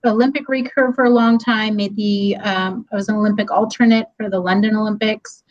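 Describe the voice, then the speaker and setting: A woman's voice: mid-range voice, fairly even intonation, brisk, highs cut off. A woman speaking as guest on an online tech meetup, friendly and chatty, laughing easily and using plenty of ums. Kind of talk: webinar presentation. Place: office hallway.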